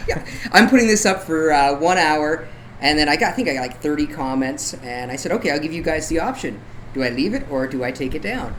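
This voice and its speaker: A man's voice: a little high, expressive, a little fast, fairly bright tone, rough recording. A man from Canada chatting casually as a podcast guest. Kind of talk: casual chat. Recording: clear background noise, room ambience.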